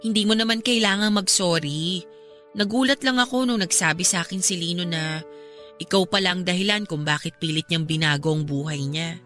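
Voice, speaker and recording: An actress playing a caring young woman, a probing and expressive confidante in a Filipino radio drama. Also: lowish voice, fairly quick, close to an everyday voice. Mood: hurt, probing. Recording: music bed behind the voice.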